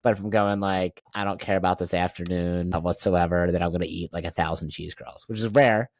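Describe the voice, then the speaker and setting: A man hosting a podcast in a dry, close-sounding space. His voice sits on the low side. He asks questions in a relaxed, easygoing way. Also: fairly quick, no room sound. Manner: easygoing, frequent "yeah" affirmations. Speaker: podcast host. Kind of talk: casual interview chat.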